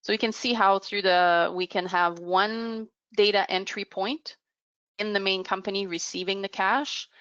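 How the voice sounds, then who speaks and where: A woman presenting a software demo in a webinar, explaining step by step off the cuff with halting pauses. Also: medium pitch, steady tone, clear, resonant tone, dry recording, lo-fi sound. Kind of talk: unscripted software demo narration.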